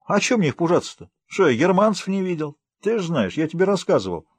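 A man's voice: fairly high pitch, big pitch swings, fast, husky, no echo, voice pushed up. A man voicing a talkative, blunt old village man in an audiobook, with rustic colloquial speech. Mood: blunt, indignant. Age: older. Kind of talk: audiobook character voice.

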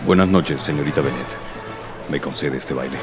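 A man playing a proud, reserved young gentleman with a deep, grave, terse delivery in a radio drama. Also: normal speed, over music. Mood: stiff, formal. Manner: terse, formal.

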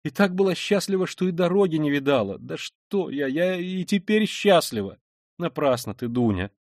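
A man's voice: a little high, fairly quick, a little mellow, no echo, clean studio sound, pitched above the performer's own voice, close to an everyday voice. A man voicing an anxious, doting middle-aged mother in a storybook, flustered, effusive and pleading.

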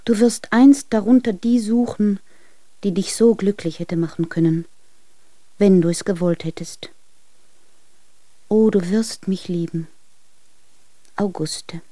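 An actress playing a despairing, lovelorn wife who pleads solemnly and fervently in a German radio drama monologue. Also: female voice, mid-range voice, slow, pauses often, mellow, no echo, close to an everyday voice. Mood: tender, reproachful.

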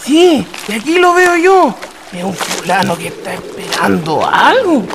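Real high creaky chilean village man, jumpy, swoops around, radio play w music behind. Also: sing-song, a little slow, background noise, full character voice. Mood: agitated. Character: jumpy, shivering village man lost at night. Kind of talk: radio drama acting.

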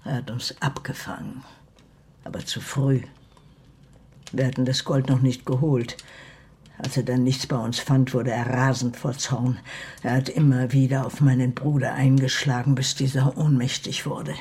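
A woman's voice: rumbling low, little pitch movement, moderate pace, a little mellow, breathy edge, exaggerated voice. A woman playing a bitter, grieving mother in a German Western radio play, recounting her past in a grave, emotional way. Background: music.

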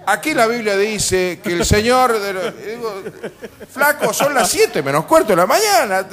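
A man's voice: sits high, big pitch swings, normal speed, fairly bright tone. An Argentine man hosting a radio show with humorous, casual banter, telling anecdotes in a wry, colloquial, sweary way. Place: live radio broadcast from a bar.

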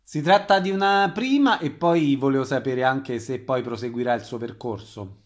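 A man's voice: mid-range voice, normal speed. Guy doing a radio interview, ordinary mid voice, short casual questions. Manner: informal, brief questions. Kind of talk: conversational interview.

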